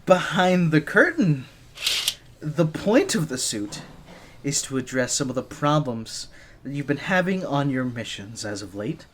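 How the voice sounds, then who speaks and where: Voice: medium pitch, very animated, unhurried, a little hiss or hum. A performer voicing a nervous, flustered young gadget engineer in an ASMR roleplay, stammering shyly and breaking off mid-phrase. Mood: bossy, fussy.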